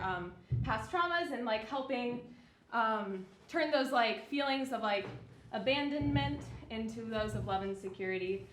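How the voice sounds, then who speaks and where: Excitable young woman addressing an echoey hall. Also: on the high side. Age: young adult. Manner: giggly, excitable, rambling. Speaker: student playwright. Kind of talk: informal speech introducing own play. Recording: echoey hall, faint noise.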